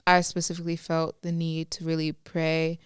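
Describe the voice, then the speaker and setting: Crisp, chatty young woman. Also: low voice, completely flat delivery, normal speed, dry recording, clean studio sound. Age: young adult. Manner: chatty, rambling, self-interrupting. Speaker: podcast co-host. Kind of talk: casual chat.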